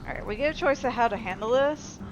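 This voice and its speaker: A woman's voice: a little high, quick pace, dull audio, noisy background. A woman giving casual, offhand, rambling commentary as she plays a video game. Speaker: video game let's player. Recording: loud game music behind voice. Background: loud game music and effects.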